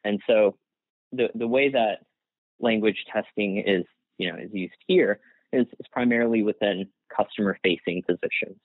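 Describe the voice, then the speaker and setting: A man whose first language is Gujarati answers an interviewer in a hesitant, restarting way with a slightly low voice. He comes through a tinny, phone-like remote call line. The sound is dry and close, with no room around it. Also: moderate pace, no room sound. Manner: hesitant, many restarts and fillers, laughs lightly. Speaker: guest, workplace psychologist.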